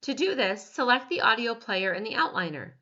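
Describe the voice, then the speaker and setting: A woman reading scripted step-by-step instructions as a tutorial narrator, measured and clearly enunciated. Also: on the high side, big pitch swings, bright, forceful tone, highs cut off.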